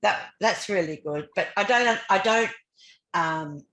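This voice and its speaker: A woman's voice: mid-range voice, a little slow, fairly bright tone. An older woman with an Australian accent chatting casually as a podcast host, often repeating words as she goes. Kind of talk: casual conversation. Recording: roomy, echoey sound.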